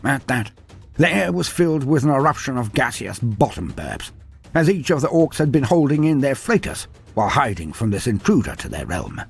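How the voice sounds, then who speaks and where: A male storyteller with a husky voice and a lively, swooping delivery. He narrates a scripted tale theatrically and with mock grandeur, over music. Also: medium pitch.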